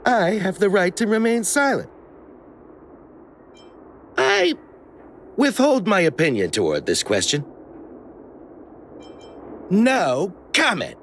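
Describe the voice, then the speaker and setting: An actor voicing a slippery, cheeky dealer in a video game, glib and theatrical, quick to wheedle. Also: male voice, high-pitched, very animated, fairly bright tone, slight background noise, exaggerated voice. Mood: smug, teasing. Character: slippery cheeky male dealer, cowardly under threat. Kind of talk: English video game voice acting.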